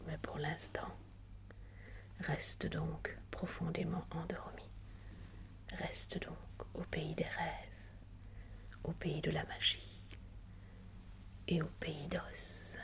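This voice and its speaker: A tender, motherly woman played by an actress whispers soothingly over a sleeper in a bedtime roleplay. The delivery is very unhurried, lowish and breathy, with some crackle. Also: flat delivery. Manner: slow, soothing, hushed.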